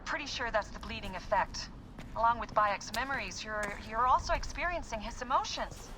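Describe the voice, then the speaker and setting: A woman's voice: a little high, expressive, moderate pace, pushed and bright, slight background noise, low-quality recording. An actress playing an anxious, cautious young female technician who stammers when worried. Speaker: lab technician.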